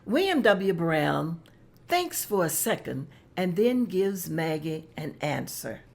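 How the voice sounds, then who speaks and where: A woman's voice: lowish voice, sing-song, slow pace, gravelly. A woman narrating stage directions in a table read, plain and matter-of-fact.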